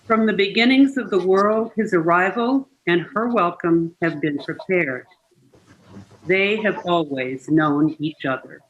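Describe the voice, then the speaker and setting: A reader delivers poems aloud at a very slow pace, in a mid-pitched voice. Each short line is set apart and given time. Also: a bit bright, dull audio, slight background noise. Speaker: participant reading poetry aloud. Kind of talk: unhurried poetry reading.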